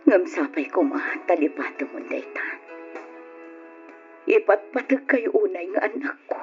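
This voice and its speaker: A woman's voice: lowish voice, big pitch swings, unhurried. A woman playing a hurt, devoted middle-aged mother in an old radio drama, earnest and pleading. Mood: tender, pleading. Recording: dull old radio recording with music.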